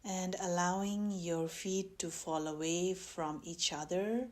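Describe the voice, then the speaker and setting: A woman with a slightly low voice leads a guided meditation. She speaks very slowly and gently, leaving long pauses between measured phrases. She sounds like a mindfulness teacher giving careful instructions. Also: fairly even intonation.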